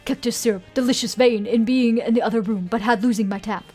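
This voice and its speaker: A woman's voice: fairly high pitch, fairly even intonation, fast, darkish tone, faint music behind, natural voice. A woman voicing a greedy goblin guard from a game, demanding and speaking in broken, childlike phrases. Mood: greedy, bargaining.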